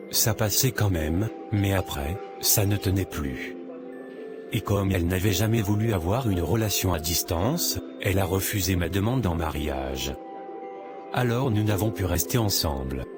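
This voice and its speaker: Cartoon romantic lead, male actor: devout young man, deep voice, sincere, steadfast, music clearly behind. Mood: tender, assured.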